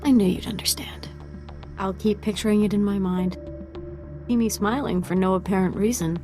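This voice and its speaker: An actress plays an intense young female Sith apprentice in a video game. She speaks deliberately at a medium pitch, with dark, breathy relish over faint music. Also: steady tone, lightly acted. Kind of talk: scripted video game dialogue acting.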